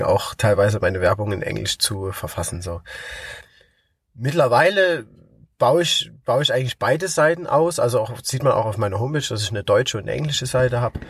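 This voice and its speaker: A ukulele builder talking enthusiastically at a festival, often restarting and repeating words as he answers interview questions. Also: medium pitch. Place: outdoor music festival.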